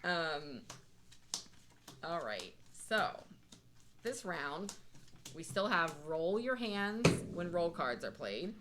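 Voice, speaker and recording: A woman's voice: fairly low pitch, very animated, slow, pauses often. A woman chatting casually and playfully as she plays a card game, with noise behind her. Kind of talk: casual game-play chat.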